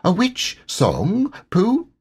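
A man voicing a small, anxious young piglet in a storybook, with a high, timid, squeaky voice. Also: wildly swooping pitch, extremely slow, a little mellow, breathy edge, heavily acted.

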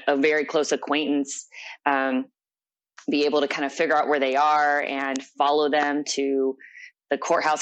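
A young adult woman being interviewed, telling a personal story in an earnest, reflective way. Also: sits low. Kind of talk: personal account in conversation.

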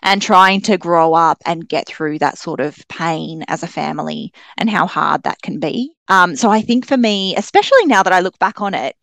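A woman's voice: lowish voice, normal speed, gravelly, hardly any pauses, a bit bright, dry recording. A woman speaking as an author guest on a podcast, chatting casually and effusively. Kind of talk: casual, enthusiastic interview chat.

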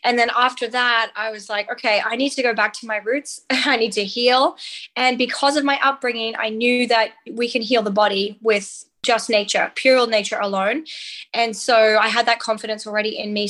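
Upbeat woman, slightly high. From Australia. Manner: animated storytelling with light laughs. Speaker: guest nutritionist. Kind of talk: conversational interview.

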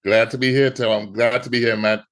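A man's voice: medium pitch, level tone, a little fast, a bit bright. A middle-aged man with a Trinidadian accent, telling his story as a podcast guest, often repeating words as he goes. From Trinidad and Tobago. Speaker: guest artist. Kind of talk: podcast interview.

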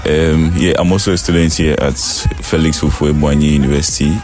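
A Ghanaian man speaks casually as a student guest. His voice is extremely deep and flat in intonation, with faint music behind. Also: fairly quick. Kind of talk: casual self-introduction.

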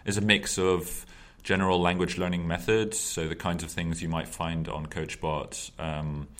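A man's voice: sits low, flat delivery, moderate pace, fairly bright tone. A man hosting a casual conversation, talking loosely with lots of ums and hesitations. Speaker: podcast host.

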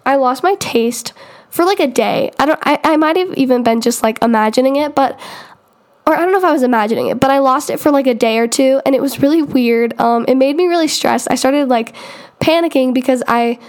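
High-voiced young woman chatting casually. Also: a little fast, darkish tone. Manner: chatty, rambling, many "um" and "like" fillers. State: recovering from illness, congested. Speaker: podcast host. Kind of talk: casual solo podcast chat.

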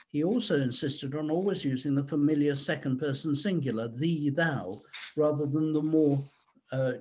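A man reading a researched historical account aloud in a measured, formal way, with the occasional stumble. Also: on the high side, a little mellow, breathy edge. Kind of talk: scripted historical narration, read aloud.

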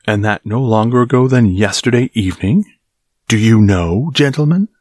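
A man's voice: sits low, expressive, measured pace, husky, no room sound, studio quality, full character voice. A man voicing an angry middle-aged captain of musketeers, scolding his men. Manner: scolding, shouting.

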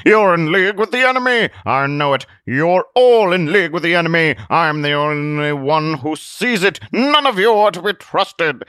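A withered, paranoid young shut-in, played by a male narrator in an audiobook. His voice is pitched high and strained, leaping about as he shouts accusations. The recording is close and dry. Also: animated, normal speed, few pauses, clean tone, dry recording. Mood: frantic.

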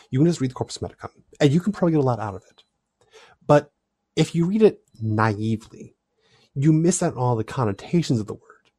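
A man talks through his ideas in a relaxed, thoughtful way. His voice sits at a middle pitch. The sound is dry and close, with no room echo. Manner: thoughtful, explanatory, animated delivery. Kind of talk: casual discussion.

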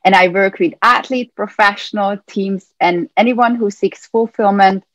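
A woman's voice: medium pitch, measured pace, a bit bright, dry recording, highs cut off. A woman from Hungary, a mental performance coach, answering interview questions earnestly and explaining step by step.

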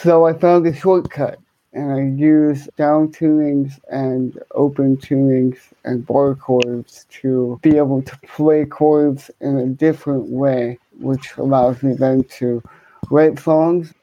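A man with a speech impairment that slurs his words, answering interview questions conversationally as a guest musician, in a deliberate way with repeated words. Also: mid-range voice, steady tone, mellow, highs cut off. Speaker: podcast guest, guitarist and musician.

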